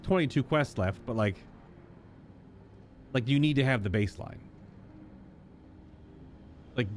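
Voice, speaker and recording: A man streaming a game with casual live commentary, thinking aloud in a drawn-out, emphatic way. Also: mid-range voice, a little hiss or hum.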